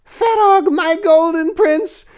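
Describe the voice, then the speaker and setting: Man shrieking as a shrill wicked witch girl. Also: takes it slowly. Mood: frenzied summoning. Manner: shrill, theatrical taunting. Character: gleeful wicked young witch girl, mocking and defiant.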